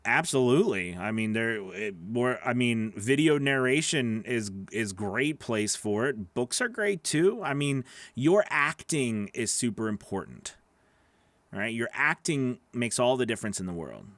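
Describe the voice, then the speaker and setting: A man's voice: medium pitch, lively intonation, a little slow, fairly bright tone, dry recording. Man, thirties, nasal, chatty and repetitive, dry close studio mic. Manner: chatty, repeats words, reads viewer questions aloud. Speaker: voice actor hosting a live Q&A. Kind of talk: casual livestream Q&A. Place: small home studio, indoors. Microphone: large studio microphone on boom arm.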